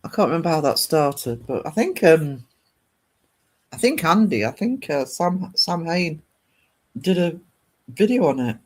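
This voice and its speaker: A man's voice: fairly high pitch, very animated, unhurried, long pauses, darkish tone. A man with a British English accent chatting casually and ramblingly with livestream viewers, reading and answering their comments. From northern England. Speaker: livestream host.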